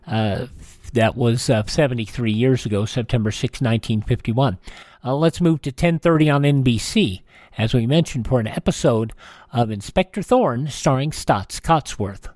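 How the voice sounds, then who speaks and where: A man hosting a classic radio show, presenting broadcast listings in a halting, matter-of-fact way. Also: mid-range voice, darkish tone, no echo.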